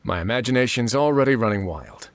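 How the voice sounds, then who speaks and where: A man voicing a polite, dry-witted gentleman in a video game. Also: medium pitch, animated, fast talker, a little hiss or hum, close to an everyday voice. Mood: amused. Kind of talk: video game character dialogue.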